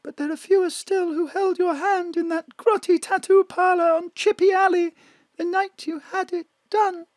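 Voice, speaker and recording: A woman's voice: extremely high pitch, steady tone, moderate pace, darkish tone, dry recording. A performer voicing a woman in disguise as a glamorous star, wary and close to tears. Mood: knowing. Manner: suspicious and tense. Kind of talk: audio drama acting.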